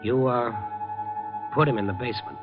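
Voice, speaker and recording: An actor playing a cool, world-weary reporter in a 1950s radio drama, wry, laconic and questioning. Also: male voice, mid-range voice, moderate pace, over music, close to an everyday voice. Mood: cool, pressing. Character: cool, probing, world-weary newspaper reporter, adult man. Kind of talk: 1950s radio drama acting and first-person narration. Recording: muffled vintage 1950 radio recording.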